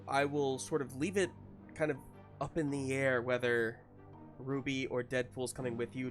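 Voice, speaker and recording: A man runs a tabletop roleplaying game at an unhurried pace. He improvises his narration in a casual, halting way, pausing to think. Soft music plays behind his voice. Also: mid-range voice. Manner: hesitant, improvising as they go. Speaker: game master.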